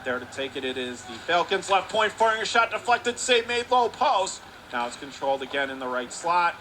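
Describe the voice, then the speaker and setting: A man in a broadcast booth calling a hockey game play by play, with rapid, clipped calls that climb higher on shots and saves. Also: very animated, sharp tone, slight background noise. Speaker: radio play-by-play announcer. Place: broadcast booth above an ice rink.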